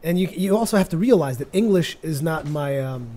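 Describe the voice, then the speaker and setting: Higher-pitched man, mellow, chatty. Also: lively intonation, a little fast. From Portugal. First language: Portuguese. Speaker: gaming video host. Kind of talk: casual chatty gameplay commentary.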